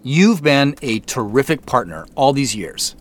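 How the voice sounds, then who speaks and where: An actor playing a contrite middle-aged company founder and CEO, speaking in a measured, formal way with deliberate pauses in an audio drama. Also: male voice, mid-range voice, sing-song. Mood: sincere, decisive. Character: earnest, contrite male company founder and CEO addressing staff. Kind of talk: naturalistic audio drama acting.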